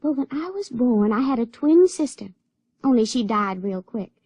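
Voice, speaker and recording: A woman's voice: fairly high pitch, big pitch swings, normal speed, a little mellow, dry recording. An actress playing a naive, wilful young backwoods girl with a rural Southern American accent, folksy and guileless, in a radio drama. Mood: earnest. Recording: dull, dry vintage radio recording.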